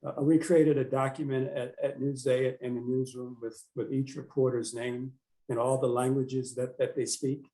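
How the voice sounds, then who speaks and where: A man's voice: medium pitch, level tone, normal speed, mellow, low-quality recording. A man, a newspaper editor, speaking hesitantly with many fillers on a panel discussion over a video call.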